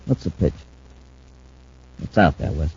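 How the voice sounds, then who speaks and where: An actor playing a nervous, guarded fugitive in a 1950s radio drama, halting in his speech. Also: a bass voice, expressive, creaky, a little mellow, husky, heavily acted. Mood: suspicious. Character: wary, nervous male fugitive in hiding. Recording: muffled, noisy old-time radio recording.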